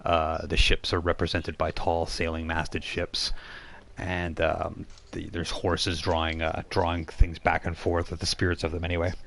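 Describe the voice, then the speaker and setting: A man narrating a live tabletop role-playing game as game master, improvising scenes and haltingly searching for words. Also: low-pitched, monotone, vocal fry, a bit bright, a little hiss or hum.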